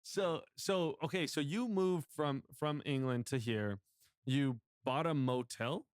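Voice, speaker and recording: A man hosting a podcast, upbeat, with quick interjections. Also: fairly high pitch, clear, resonant tone, no echo, studio quality. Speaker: podcast host. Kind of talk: casual interview.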